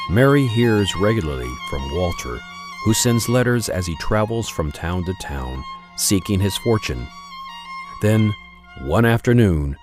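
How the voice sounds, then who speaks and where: Casting: male narrator, very low voice, measured and dramatic, soft music behind. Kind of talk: scripted storybook narration.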